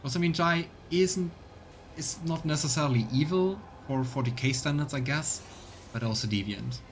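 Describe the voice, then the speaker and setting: A man's voice: fairly high pitch, moderate pace, soft background music. A man giving casual live game commentary, musing and grumbling as he goes. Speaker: let's play streamer. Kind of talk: casual live game commentary, sometimes reading game text aloud.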